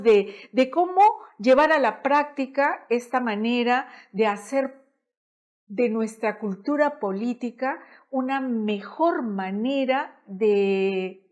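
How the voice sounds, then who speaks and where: A woman host, speaking in a measured way and filling with "diríamos". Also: on the high side. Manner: warm-thanking, measured, uses "diríamos". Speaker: program host. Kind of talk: slow hosting and interviewing.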